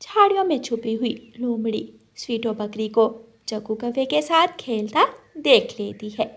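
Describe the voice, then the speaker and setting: High-pitched woman narrating a children's story. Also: animated, a little slow.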